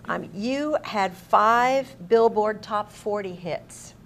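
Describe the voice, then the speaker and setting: A woman's voice: mid-range voice, slow, a bit bright, slight background noise. A middle-aged woman hosting a television interview in an encouraging, complimentary way. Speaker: interviewer, host. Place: TV studio desk. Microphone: lapel mic.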